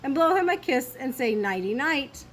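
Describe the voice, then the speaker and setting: A woman's voice: high-pitched, animated, normal speed, a little hiss or hum, voice pushed up. A woman voicing a playful storybook goddess spirit, reciting in a sing-song manner. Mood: playfully matter-of-fact. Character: three ghostly giggling goddesses preparing a mummy.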